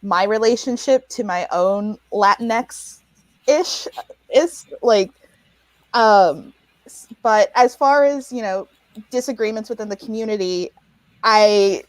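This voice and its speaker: Woman panelist, chatty and quick to laugh, lots of fillers; dry, close recording. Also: mid-range voice, no room sound, poor audio. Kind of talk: casual panel chat.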